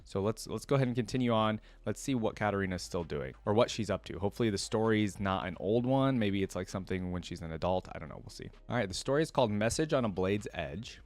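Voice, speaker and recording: A man hosting a reaction video, reading a story aloud and adding casual, expressive comments of his own. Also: lowish voice, fast talker, few pauses, no room sound.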